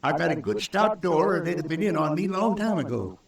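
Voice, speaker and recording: An actor playing an earnest, simple frontier townsman in an old radio western, folksy and halting, in a roomy vintage recording. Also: fairly high pitch, animated, creaky, far from the mic. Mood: fearful, insistent.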